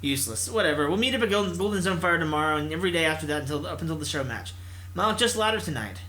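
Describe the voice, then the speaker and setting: A man's voice: on the high side, animated, very fast, bright, forceful tone. Man reading as curt, commanding female team captain. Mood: bossy. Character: brusque, demanding female esports team captain. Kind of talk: visual novel character reading.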